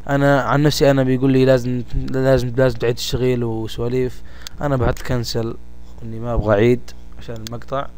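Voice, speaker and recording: A man with a Gulf Arabic accent presenting a software tutorial step by step in an informal, colloquial way, addressing listeners familiarly. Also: medium pitch, little pitch movement, fast talker. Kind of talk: casual step-by-step screen tutorial. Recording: home recording with faint background noise.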